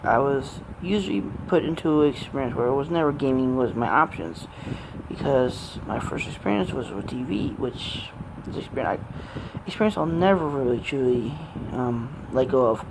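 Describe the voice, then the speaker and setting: A man in his late twenties rambling off the cuff in a personal gaming vlog, with halting, meandering and broken phrasing. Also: mid-range voice, expressive, background noise. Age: late 20s. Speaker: amateur gaming vlogger. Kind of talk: rambling unscripted personal monologue.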